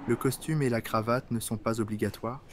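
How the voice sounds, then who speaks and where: A man reading scripted workplace dialogues for French learners, in a plain, clear way. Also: medium pitch, steady tone, fairly quick, a little hiss or hum, natural voice.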